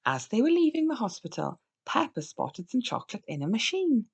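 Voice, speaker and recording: A woman reading a children's storybook aloud with expressive, deliberate phrasing for young listeners. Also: a little high, vocal fry, slightly rough. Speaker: storybook reader. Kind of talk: scripted children's read-aloud narration.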